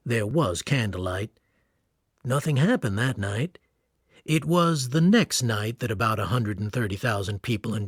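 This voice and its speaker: A wry male storyteller narrating a radio play. His medium-pitched delivery is matter-of-fact, recorded very dry with no room sound. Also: slightly rough, no room sound. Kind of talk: scripted radio drama narration.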